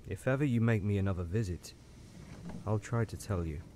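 A man voicing a troubled, lonely railway signalman who speaks low and gravely in an audiobook. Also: brisk, slight background noise, natural voice. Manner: low, hushed, grave speech. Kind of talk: audiobook character reading.

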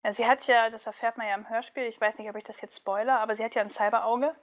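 A woman with a fairly high voice talks casually as an interview guest. She is a voice actress who explains things in a thoughtful, slightly giggly, conversational way. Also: fairly even intonation, quick pace.

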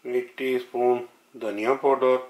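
Guy talking thru a recipe at home, mid pitch, roomy kitchen sound. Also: little pitch movement, noisy background. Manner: unhurried, friendly step-by-step explaining. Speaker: home cook. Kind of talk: casual cooking vlog. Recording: echoey kitchen.

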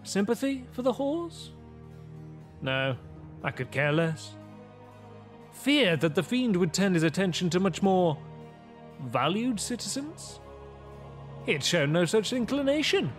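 A man's voice: sits high, very animated, unhurried, long pauses. An actor playing a brooding, arrogant vampire who recounts his transformation in a formal, theatrical gothic audio drama monologue. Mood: brooding, musing. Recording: music under the voice.

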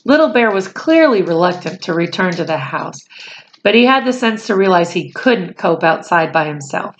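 A woman in her forties reading a storybook aloud to children, deliberate and pausing phrase by phrase, with explanatory asides. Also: lowish voice, lively intonation, slight background noise, rough recording. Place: small room at home, indoors.

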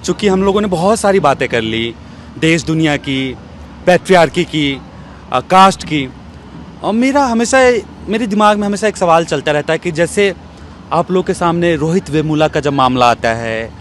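A male interviewer speaks in a high-pitched voice into a handheld mic. His questions are reflective and wander a little as he searches for words. Faint outdoor noise sits behind him. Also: lively intonation, normal speed, a bit bright. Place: outdoors in an open courtyard. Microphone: handheld small microphone.

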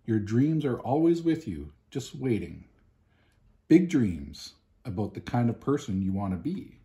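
A man, reading a children's picture book aloud expressively, with deliberate, emphatic pauses. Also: mid-range voice, sing-song, dark tone. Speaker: storybook reader. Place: home living room, indoors.

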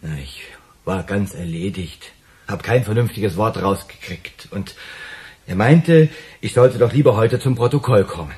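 An actor playing a nervous, wordy waiter in a radio crime drama, defensive and excitable as he explains himself. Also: on the low side, a little slow, lightly acted. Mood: shaken.

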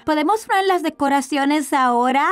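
Woman playing a high-pitched cheerful little boy. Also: normal speed, soft background music, lightly acted. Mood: calm, happy. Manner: childlike, excitable. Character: cheerful, eager little boy, about four. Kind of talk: children's cartoon dubbing.

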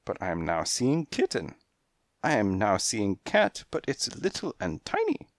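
A grandiose feline palm-reader in a spoken storybook, put on by a man, talking in broken English with big theatrical swings of tone. Her voice climbs far above a man's low register and rings out in a close, dry sound. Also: huge pitch swings, no room sound. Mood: explaining. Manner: broken English, dramatic, quick to take offence. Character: theatrical female cat fortune-teller, touchy and grandiose. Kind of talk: storybook character voice.

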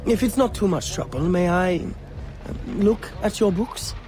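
A polite, nervous young colonial clerk in a game cutscene. His high voice stammers deferentially over clear music. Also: measured pace. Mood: hesitant. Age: young adult. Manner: hesitant, stammering. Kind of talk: video game cutscene acting. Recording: music behind the voice.

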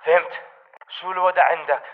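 A male actor plays a wary militant on guard in a war game, in a high voice with a Levantine accent. He fires off terse lines quickly, and the sound is dull and muffled. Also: steady tone, natural voice. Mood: watchful. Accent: Levantine Arabic. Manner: clipped, terse. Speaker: enemy soldier voice in a shooter game. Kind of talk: patrol chatter, short barks.

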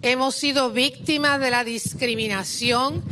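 A woman's voice: high-pitched, steady tone, a little slow, noisy background. Impassioned Puerto Rican woman at a rally. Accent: Puerto Rican Spanish. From Puerto Rico. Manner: impassioned, exhorting the crowd. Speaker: rally speaker. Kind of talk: political rally speech. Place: outdoors at a podium. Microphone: podium mic.

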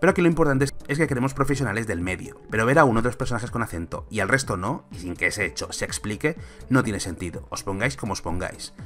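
A man's voice: fairly low pitch, animated, fast, fairly bright tone, soft background music. A man in his thirties from Spain, a film commentator giving an argumentative, sarcastic opinion vlog on a close mic.

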